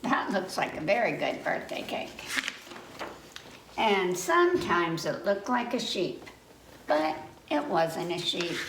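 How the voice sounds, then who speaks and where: Animated, slow-paced woman reading to children. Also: mid-range voice, far from the mic. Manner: animated, asks listeners questions, chatty asides. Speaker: children's library storytime host. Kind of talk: reading picture books aloud to children. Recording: echoey room, faint background noise.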